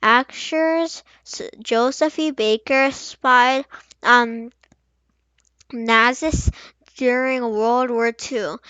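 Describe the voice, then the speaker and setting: High-pitched young girl reading slowly. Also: fairly even intonation, pushed and bright, clean tone, no room sound, dull audio. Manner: stumbling over words, sometimes playful sing-song. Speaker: young reader practising aloud. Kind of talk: halting read-aloud of a children's history book.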